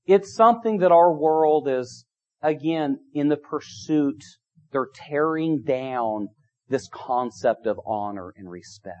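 A man's voice: fairly high pitch, animated, takes it slowly, a little mellow. A middle-aged man preaching a sermon, emphatic and repetitive, his volume rising and falling. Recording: dull lo-fi sound.

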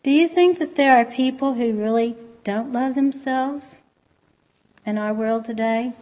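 A soft-spoken woman speaks to a congregation in a gentle, conversational way. Her voice sits a little high, and she now and then stops to restart a thought. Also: measured pace. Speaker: church speaker. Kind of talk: informal sermon.

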